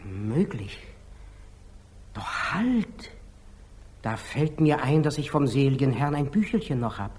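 An actor playing a humble, devout, simple-hearted lay brother in a 1940s German radio drama, gentle and plain-spoken. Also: male voice, mid-range voice, very animated, slow, long pauses, husky, exaggerated voice. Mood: earnest, recollecting. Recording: faintly noisy 1940s radio recording.

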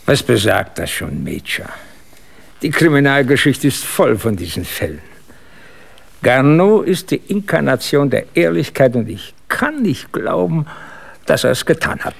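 An actor playing an older, courteous English doctor who works as a determined amateur detective in a German radio play. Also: male voice, mid-range voice, sing-song, unhurried, gravelly, full character voice. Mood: earnest, determined. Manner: courteous, probing, old-fashioned politeness. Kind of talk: German radio drama acting.

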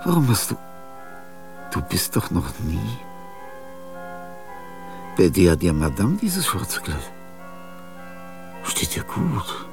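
An older man acting in a radio play, with a husky, gravelly voice and a halting, dreamlike monologue that slips into whispers. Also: deep voice, wildly swooping pitch, distant mic, over music. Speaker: radio drama actor.

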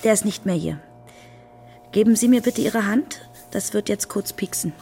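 An actress playing a brisk, matter-of-fact hospital nurse in a naturalistic radio drama. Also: female voice, medium pitch, dark tone, a little hiss or hum, natural voice.

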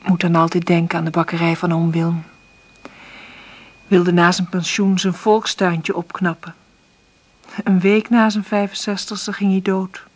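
A woman's voice: on the low side, breathy edge. An actress playing an anxious single mother in a naturalistic radio play, speaking in a hesitant, faltering way. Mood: wistful, reflective.

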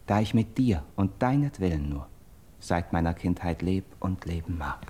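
An actor playing a steadfast, hopeful young friend, speaking classical verse drama in an earnest, elevated manner. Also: male voice, low-pitched, expressive, dark tone, husky. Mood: consoling. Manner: earnest, elevated, measured declamation. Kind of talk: classical German verse drama, stage declamation.

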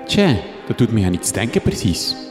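A down-to-earth Flemish fellow in a radio drama. His wry, colloquial voice is animated and mid-pitched, in long reverb under loud music. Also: moderate pace. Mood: curious, wry.

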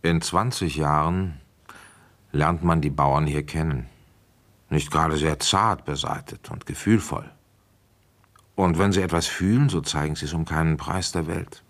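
An actor playing a middle-aged village police inspector in a German radio play, measured and matter-of-fact. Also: male voice, extremely low pitch, fairly bright tone, exaggerated voice. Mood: sober, reflective. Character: seasoned male village police inspector telling his case.

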